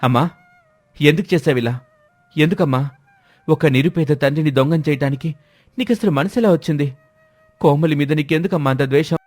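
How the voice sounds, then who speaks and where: Man as heartbroken young husband in a story drama, pitched up from his usual, pleading. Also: normal speed, pauses often, a little mellow, no room sound, soft background music, lightly acted. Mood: anguished. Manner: pleading, emotional, raised voice. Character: anguished betrayed son and remorseful young husband.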